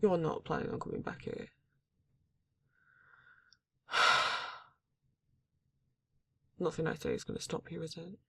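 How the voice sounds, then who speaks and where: A performer voicing a grumpy, reluctant werewolf in an ASMR roleplay, exasperated and halting, with a husky, gravelly voice. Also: mid-range voice, fairly even intonation, pushed and bright, heavily acted. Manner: exasperated, sighing, swearing, halting delivery. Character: grumpy, reluctant werewolf, guarded but not cruel. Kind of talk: ASMR roleplay audio drama, intimate monologue.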